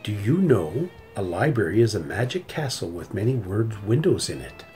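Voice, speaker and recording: A middle-aged man reading a children's story aloud in an expressive, deliberate way. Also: on the low side, soft background music. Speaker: storybook reader.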